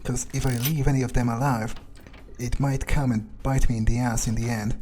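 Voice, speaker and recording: A man playing a video game in character, thinking aloud in halting, musing, sweary commentary. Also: medium pitch, level tone, mellow. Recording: game music behind the voice.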